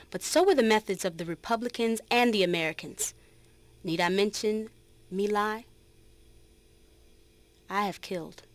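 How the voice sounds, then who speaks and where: A woman giving a dramatic reading as a resolute, reflective former guerrilla fighter, measured and earnest. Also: mid-range voice, dry recording, natural voice. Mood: grave, firm. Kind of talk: dramatic reading of a written testimony.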